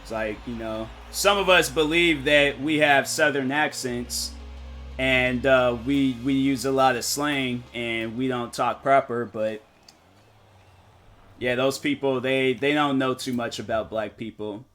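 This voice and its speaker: A man streaming a game and chatting casually, rambling and thinking out loud with lots of fillers. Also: medium pitch, fairly even intonation, a little slow, faint music behind. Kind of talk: casual live-stream chat.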